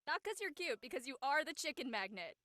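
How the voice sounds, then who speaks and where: Woman as teenage girl, breezy, teasing, bright voice, close dry sound, comedic audio drama. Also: sits high, very animated, brisk, no echo, full character voice. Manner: breezy, matter-of-fact, teasing. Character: blunt, unapologetic teenage girl obsessed with social media.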